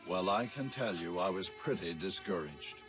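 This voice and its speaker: A man playing a dignified, kindly father and ruler in a children's cartoon, speaking earnestly in measured, formal phrases. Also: low-pitched, expressive. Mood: grave, reflective. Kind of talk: animated series voice acting. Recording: music under the voice.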